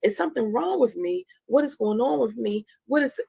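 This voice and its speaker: A woman's voice: on the high side, animated, moderate pace, vocal fry, mellow, slightly rough. A woman in her forties giving a candid motivational testimony as a host, emphatically repeating phrases for effect. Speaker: host of a live talk on anxiety.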